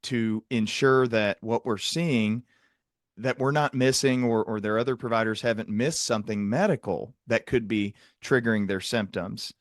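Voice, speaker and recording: A man's voice: on the low side, moderate pace, no room sound, clean studio sound. A man hosting a clinical interview podcast, talking in an earnest, wordy way and often rephrasing mid-thought. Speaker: podcast host and psychiatric clinician interviewing a guest. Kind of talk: conversational interview with long reflective remarks.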